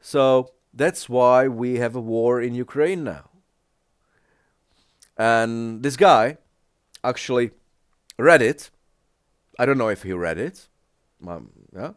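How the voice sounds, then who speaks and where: A man who is not a native English speaker, giving casual reaction commentary in a halting way, often searching for words and restarting. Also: mid-range voice, smooth, no room sound, studio quality. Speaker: reaction video creator.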